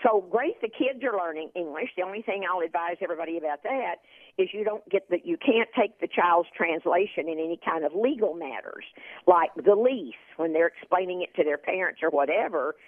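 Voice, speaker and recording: A woman's voice: fairly low pitch, lively intonation, a little fast, no room sound. A woman from Texas, a guest expert on a radio talk show, chatting and telling anecdotes with many repeated words. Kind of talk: casual radio interview. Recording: phone line.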